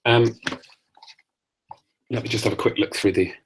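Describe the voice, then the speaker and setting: A man hosting a podcast, reading his own essays aloud and chatting offhand, his voice getting croaky. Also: medium pitch, steady tone, noisy background. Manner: measured reading that turns to offhand asides. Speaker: podcast host.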